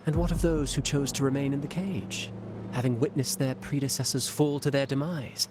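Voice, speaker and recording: A man's voice: medium pitch, steady tone, moderate pace, noisy background, close to an everyday voice. A man playing a courteous, enigmatic traveling playwright in a video game, polished and theatrical, with an insinuating way of talking. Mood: musing, theatrical. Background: loud background music.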